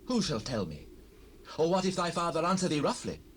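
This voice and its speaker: An anxious young fugitive in a biblical audio drama, pleading with a friend. His solemn, archaic speech swings widely in pitch, over a faintly noisy cassette with ambience behind. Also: big pitch swings, a little fast, fairly bright tone. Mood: worried.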